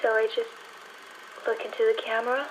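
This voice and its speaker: A woman's voice: fairly high pitch, level tone, natural voice. An actress playing a shy, nervously hopeful aspiring film star in her twenties, speaking hesitantly in an audio drama. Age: 20s. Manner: hesitant, halting, giggly. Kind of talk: audio drama acting, screen test monologue. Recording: noisy whirring film projector sound.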